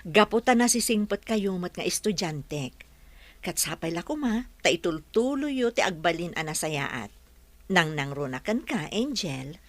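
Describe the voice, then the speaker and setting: A woman's voice: lowish voice, big pitch swings, a little slow, no echo. An actress with a Filipino accent playing a proud, encouraging female teacher in a radio drama.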